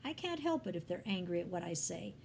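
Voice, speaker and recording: A woman's voice: fairly low pitch, very animated, brisk, vocal fry, a little mellow, slight background noise. A woman voicing a blunt, earnest male preacher in a story, with emphatic delivery. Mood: defensive, earnest. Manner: emphatic, protesting. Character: fiery, stubborn male evangelist Paul, blunt and earnest.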